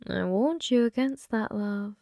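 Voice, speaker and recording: A woman voicing a flirty, possessive female demon gambler in an ASMR roleplay, breathy and often whispering, teasing with sweet menace. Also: mid-range voice, mellow, no room sound. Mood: taunting. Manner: slow, teasing, calls listener love. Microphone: close microphone, dry.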